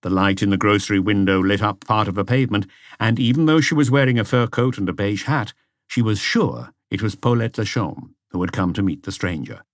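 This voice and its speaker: A man narrates a mystery audiobook in a fairly low voice. The recording is close and dry, with very little room around the words. He reads from a script as a storyteller. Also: fairly quick, no room sound. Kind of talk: scripted narration of a detective novel.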